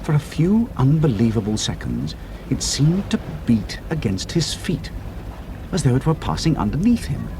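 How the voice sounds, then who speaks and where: A middle-aged man narrating a dramatized adventure story, slowing down for suspense and dropping to hushed tones for atmosphere. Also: mid-range voice, big pitch swings, vocal fry, noisy background. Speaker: audiobook narrator. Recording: music score underneath.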